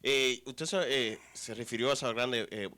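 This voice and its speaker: Guy hosting a radio talk show, chatty and teasing, mid pitch. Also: steady tone, moderate pace, bright, forceful tone. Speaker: host.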